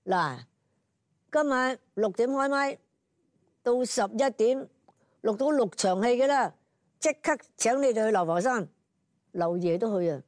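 A woman's voice: fairly high pitch, lively intonation, a little slow, pauses often, darkish tone, no room sound. An older woman with a Hong Kong Cantonese accent, reminiscing conversationally as an interviewee.

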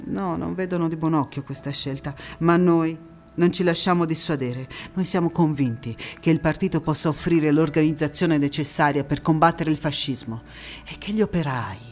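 An actress playing a passionate young Italian antifascist woman, recounting her life in a theatrical monologue with dramatic pauses and emphatic repetitions. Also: low-pitched, a little mellow, slight background noise, lightly acted. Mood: resolute. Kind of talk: theatrical stage monologue, first-person storytelling.

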